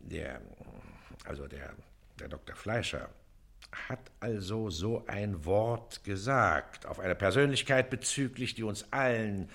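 An actor playing a pompous, self-important Prussian magistrate in a stage comedy, haughty and given to stalling fillers. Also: male voice, fairly low pitch, slow, fairly bright tone. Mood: self-important, confiding. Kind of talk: theatrical stage comedy acting.

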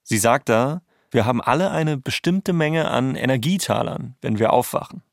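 A man's voice: medium pitch, lively intonation, normal speed, gravelly, no room sound, studio quality. A man narrating a scripted documentary podcast as its host.

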